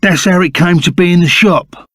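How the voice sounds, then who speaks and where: An actor playing a loyal, excitable reformed bandit sidekick in a video game, with a Cockney accent and blunt, slangy speech. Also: male voice, high-pitched, moderate pace, dry recording, clean studio sound. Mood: earnest, urgent. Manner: rough colloquial slang, blunt and emphatic. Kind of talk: video game character voice acting.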